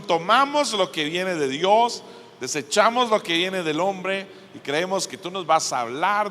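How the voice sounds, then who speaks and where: High-pitched Costa Rican preacher in a reverberant hall. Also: male voice, bright, forceful tone. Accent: Costa Rican Spanish. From Costa Rica. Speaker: guest preacher at a church conference. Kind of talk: sermon with scripture reading and prayer. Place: large indoor church conference hall. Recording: long hall reverb from a PA system.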